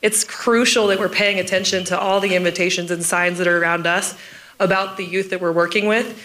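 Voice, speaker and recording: A woman with a scratchy, hoarse voice moderating a youth panel in a casual, chatty way. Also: fairly low pitch, fairly even intonation, brisk, fairly bright tone. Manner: casual, self-deprecating, chatty.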